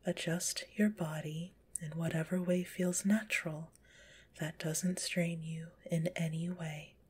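A woman guides a relaxation in a very breathy whisper. Her delivery is slow and gentle, pitched on the low side. She sounds a little stuffed up in the nose, soothing throughout. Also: fairly even intonation. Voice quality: stuffy-nosed. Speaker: guided visualization narrator.

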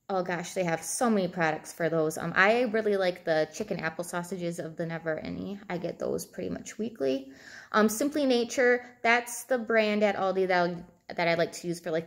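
A woman in her thirties giving informal advice to camera as a coach, conversational and thinking aloud as she goes. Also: mid-range voice. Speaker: fitness and nutrition coach. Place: indoors, small room at home, seated at a table.

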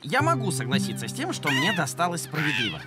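A man's voice: a little high, huge pitch swings, quick pace, over loud music. A man voicing an anxious, indignant cartoon boy pirate, earnest and argumentative. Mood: earnest, worried.

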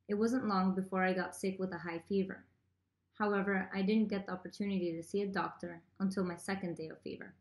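A woman's voice: medium pitch, darkish tone. Young woman reading testimony in an echoey room. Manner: slow, careful reading from paper. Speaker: reader of a legal declaration. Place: small indoor room with plain wall, seated.

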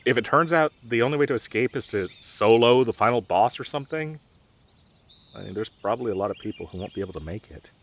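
A young man playing a dorky, nervous, protective gamer best friend in an audio roleplay, with halting, awkward delivery. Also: mid-range voice, lively intonation, fairly bright tone, slight background noise. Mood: worried, musing. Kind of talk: audio roleplay drama, conversational.

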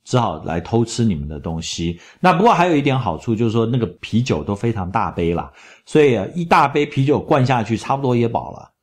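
A middle-aged man, chatting in a travel vlog voice-over and telling stories in an animated way. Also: medium pitch, a little fast. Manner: chatty, animated storytelling with laughs. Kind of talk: casual travel commentary voice-over.

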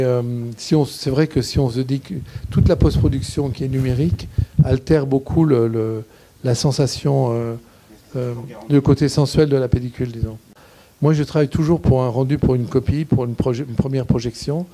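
Older guy in his 60s, very dark low-lit voice, keeps searching for words, handheld mic in a small room, thoughtful q&a answers. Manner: halting, searching for words, gesturing. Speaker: guest cinematographer. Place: small indoor screening room in a shop. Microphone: handheld mic, standing.

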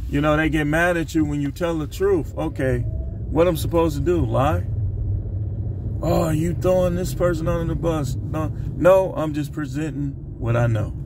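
An American man in his fifties talks casually to his phone from inside a car, with vehicle and street noise clearly audible. His voice sits a bit high for a man, and he speaks very slowly. He is blunt and streetwise, repeating phrases to drive them home. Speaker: vlogger giving relationship advice. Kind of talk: casual opinion monologue to camera. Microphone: phone at arm's length.

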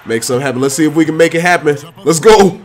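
A man giving excited, slangy commentary on his own video game play, full of playful trash talk. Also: fairly high pitch, rapid-fire.

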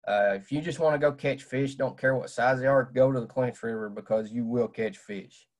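A man's voice: medium pitch, normal speed. Young guy talking fishing over a webcam, says uh a lot. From Southwest Virginia. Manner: plain-spoken, many "uh" fillers. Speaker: fishing presenter. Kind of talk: casual slideshow talk. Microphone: webcam on video call.

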